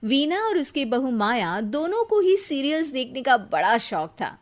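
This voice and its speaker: A woman reading scripted narration for a cartoon moral story in an even storytelling manner. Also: high-pitched, measured pace.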